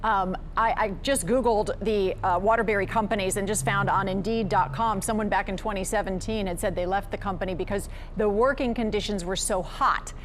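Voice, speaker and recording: A woman news anchor questioning a reporter live, speaking deliberately and probingly while sometimes searching for words. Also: medium pitch, steady tone, faint music behind.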